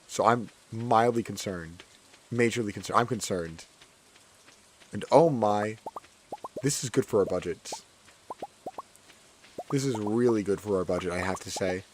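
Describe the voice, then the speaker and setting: A hoarse man with a fairly low voice talks his way through a farming game, his words cracking now and then. He chats loosely and says many lines twice. Faint noise sits under his voice. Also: unhurried, pauses often. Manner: chatty, repeats his own phrases. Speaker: gaming streamer. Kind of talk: casual gameplay commentary.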